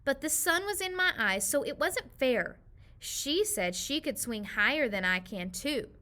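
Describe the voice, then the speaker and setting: A cross little girl grumbles through a storybook in a woman reader's voice. Her tone is bright and high, with a whiny, indignant edge to every complaint. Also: animated, unhurried, exaggerated voice. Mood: complaining. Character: young girl, sulky and complaining.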